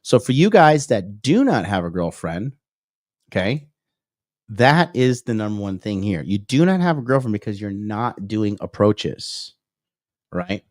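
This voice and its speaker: Man, 30s, dating coach, lowish voice, dry close sound, lecturing, keeps checking in with "okay?". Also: very animated, unhurried, dry recording. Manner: lecturing, punctuates points with "okay?" and "right?"; reads out and answers viewer comments. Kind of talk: livestreamed whiteboard lecture.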